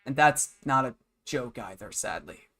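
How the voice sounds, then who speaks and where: A young man in his twenties talks off the cuff to his livestream. His voice sits at an average pitch. He rambles and grumbles in a blunt, matter-of-fact way, like casual venting after a day of work. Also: expressive, unhurried, fairly bright tone. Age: 20s. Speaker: game livestreamer.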